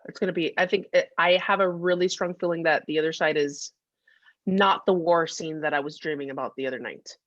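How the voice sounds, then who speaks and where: A woman's voice: low-pitched, fairly quick, a bit bright, no echo, dull audio. A woman co-hosting a podcast in casual comedic chat, playful and teasing, repeating phrases for emphasis. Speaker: podcast co-host.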